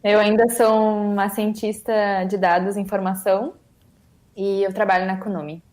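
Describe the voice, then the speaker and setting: A woman's voice: mid-range voice, fairly even intonation, moderate pace, darkish tone. A young woman with a Gaúcho Brazilian accent, an engineering student and guest, introducing herself politely and a little shyly. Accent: Gaúcho Brazilian Portuguese. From Rio Grande do Sul, Brazil. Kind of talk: casual interview.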